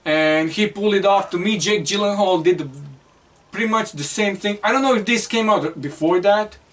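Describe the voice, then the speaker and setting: A man's voice: high voice, moderate pace, fairly bright tone. A man co-hosting a casual movie podcast, chatty and a little hesitant. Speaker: podcast co-host. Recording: distant mic with faint noise.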